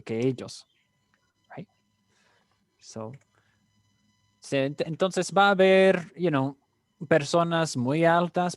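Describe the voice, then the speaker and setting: A man's voice: medium pitch, no echo, clean studio sound. A man tutoring in Spanish, often checking in with "right?" and mixing in fillers. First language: English. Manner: mixes English fillers into Spanish, frequent "right?". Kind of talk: casual online tutoring.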